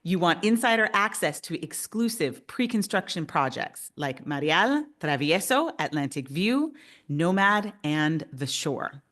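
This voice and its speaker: A woman's voice: lowish voice, big pitch swings, measured pace, fairly bright tone. A woman in her fifties from Canada, presenting a webinar as a realtor in an upbeat, enthusiastic way.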